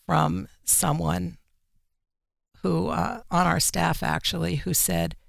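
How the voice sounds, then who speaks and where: A woman's voice: on the low side, little pitch movement, slow pace, gravelly, no room sound, studio quality. A woman speaking as a magazine editor being interviewed, explaining her views in an earnest, emphatic, conversational way. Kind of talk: conversational interview commentary on religious issues.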